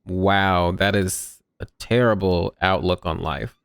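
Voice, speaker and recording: A woman's voice: very deep voice, steady tone, slow pace, clean tone, no echo. A woman giving casual let's play commentary on a video game, with sardonic, wry asides. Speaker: gamer commenting on a playthrough.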